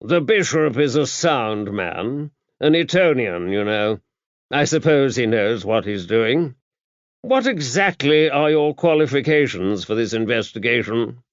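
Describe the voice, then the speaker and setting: A pompous older English aristocrat, played by a male audiobook reader, pitched up from the narrating voice. His upper-class accent carries a self-important, drawling manner full of old-fashioned phrasing. The sound is a little dull and close, with no room around it. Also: lively intonation, measured pace, no room sound, natural voice. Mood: haughty.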